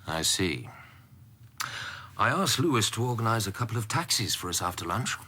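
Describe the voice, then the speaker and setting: An actor playing a shrewd, ruthless British government minister, measured and formal, in a radio drama. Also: male voice, on the low side, sing-song, sharp tone, husky, heavily acted. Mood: measured, courteous.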